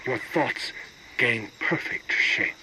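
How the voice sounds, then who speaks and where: A man playing a calm alien spiritual guide in a video game, speaking in a measured, ceremonial and instructive manner. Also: mid-range voice, a little hiss or hum, rough recording. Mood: solemn, serene. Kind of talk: scripted game dialogue.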